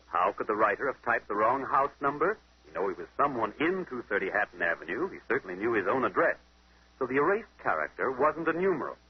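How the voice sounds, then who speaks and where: A confident amateur detective, lays out deductions step by step with theatrical questions. His very husky voice rises and falls freely through a muffled old-time radio mystery broadcast. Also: medium pitch, very animated, quick pace. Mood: deductive, assured. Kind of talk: 1940s radio mystery acting.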